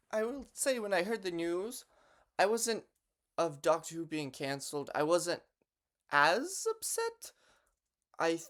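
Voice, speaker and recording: A young man talking casually and unscripted to camera as a vlogger, with a hesitant delivery full of false starts. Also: on the high side. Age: young adult. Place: bedroom in a home.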